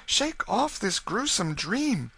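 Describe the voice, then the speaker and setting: A man's voice: sits high, sing-song, takes it slowly, gravelly, sharp tone, husky, voice pushed up, full character voice. A man voicing a tender, anguished middle-aged peasant mother in an audiobook, pleading in archaic speech. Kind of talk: dramatised audiobook character.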